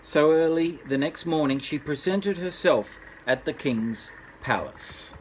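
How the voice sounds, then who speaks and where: An older man, a grandfather, narrating a children's bedtime story in an unhurried, expressive way. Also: on the high side, slight background noise, low-quality recording.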